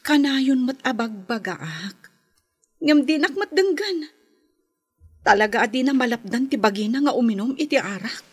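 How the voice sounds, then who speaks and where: A woman's voice: high voice, expressive, normal speed, slightly rough. An actress playing a patient but firm, long-suffering wife and mother in an Ilocano radio drama, insistent and pleading. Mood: weary, pleading.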